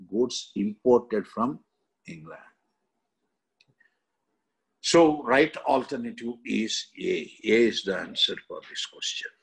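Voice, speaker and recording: A middle-aged man with an Indian accent delivers a lecture as a coaching teacher. His voice is husky and his pace is slow. He speaks in short, emphatic chunks, often checking that listeners follow. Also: fairly low pitch, poor audio. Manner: emphatic, choppy phrases with frequent check-in tags. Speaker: exam coaching history teacher. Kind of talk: lecture explaining exam questions.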